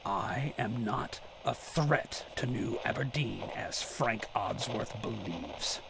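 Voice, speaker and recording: A man playing a grim, mysterious vigilante in a radio drama, speaking formally and ominously in a gravelly half-whisper. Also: lowish voice, fairly bright tone, a little hiss or hum, full character voice, poor audio. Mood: grave, foreboding. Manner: slow, ominous, formal speech. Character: grim mysterious male vigilante, cryptic and resolute.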